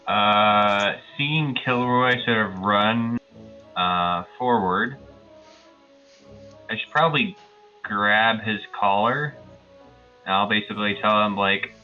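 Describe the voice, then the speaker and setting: A man playing a tabletop game, casually and tentatively describing what his character does, in halting phrases. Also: lowish voice, dull audio, faint music behind. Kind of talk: casual narration of a character's actions.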